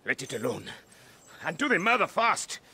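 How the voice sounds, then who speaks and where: An actor playing a servile, scheming, resentful monster servant in a Shakespearean audio drama, gravelly and urgent. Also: male voice, on the high side, big pitch swings, normal speed, pushed and bright, exaggerated voice. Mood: impatient, alarmed.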